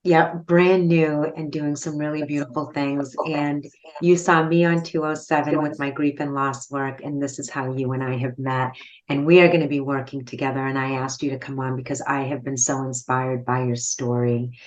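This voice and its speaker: A woman's voice: extremely low pitch, flat delivery, normal speed, poor audio. A woman hosting a podcast interview, upbeat and welcoming, asking follow-up questions. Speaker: podcast host. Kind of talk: conversational interview.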